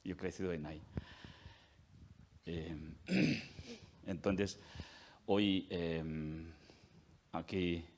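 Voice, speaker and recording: Slow, deep-voiced monk. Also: male voice, fairly even intonation, a little hiss or hum. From India. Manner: halting, with many fillers and frequent throat clearing. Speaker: Tibetan Buddhist monk giving a talk. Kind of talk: lecture at a film forum.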